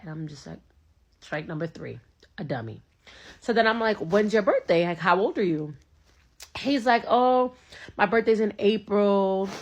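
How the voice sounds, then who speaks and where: A woman's voice: medium pitch, muffled recording. A young woman telling a date story in a casual, slangy way, acting out both sides of the conversation. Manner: animated, slangy, acts out both sides of dialogue.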